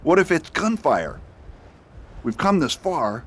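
A man's voice: a little high, very animated, slow pace, fairly bright tone, slight background noise, exaggerated voice. A man playing a determined US marshal in a radio drama, speaking earnestly and insistently. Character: determined male US marshal, stubborn and dutiful. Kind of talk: amateur radio drama acting.

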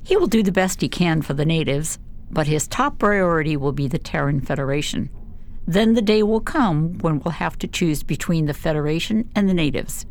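An actress playing a blunt, weary, principled scientist in a science fiction radio drama. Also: female voice, low voice, animated, moderate pace. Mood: bitter, sardonic. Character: principled, weary, pessimistic woman scientist at an alien colony.

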